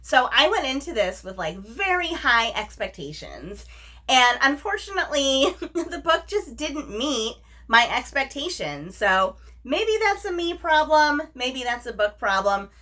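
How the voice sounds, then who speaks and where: A woman's voice: high voice, very animated, unhurried, bright, forceful tone. A woman hosting a book review vlog, chatting casually and enthusiastically to viewers, often repeating words for emphasis. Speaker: book reviewer, video host.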